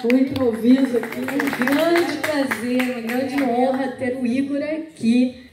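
A Brazilian woman hosting a poetry evening on a small auditorium stage, speaking slowly and deliberately. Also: sits high, steady tone, background noise. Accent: Brazilian Portuguese. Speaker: event host. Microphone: stand mic, recorded by a far camera. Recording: very echoey hall, far off, guitar behind.

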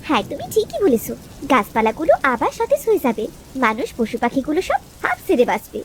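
A woman playing a nagging but devoted village housewife in a cartoon, expressive, shifting between scolding and coaxing. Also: high voice, quick pace, a little hiss or hum. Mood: coaxing, caring. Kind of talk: cartoon voice acting.

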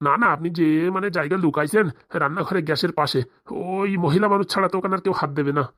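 A man's voice: high voice, very fast, a bit bright, no room sound, clean studio sound. A man voicing a greedy, fawning corrupt policeman with a rural Bengali accent in a comedy cartoon. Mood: fawning, wheedling. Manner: obsequious, boastful. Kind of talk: comic cartoon dialogue.

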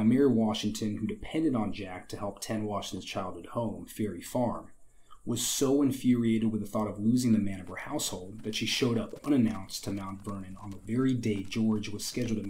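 A young man with a slightly raspy, hoarse voice, hosting a history channel and narrating from a script with wry conversational asides. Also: lowish voice, darkish tone, slight background noise, poor audio. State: recovering from illness, slightly hoarse.

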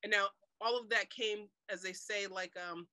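Middle-aged woman on a webcam call, bright forceful voice, dry close sound, laughs a lot. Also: mid-range voice, moderate pace, dry recording. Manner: animated storyteller, laughs often, acts out inner voice. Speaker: guest author. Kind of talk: conversational interview. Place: room with blue cloth backdrop. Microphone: webcam on video call.

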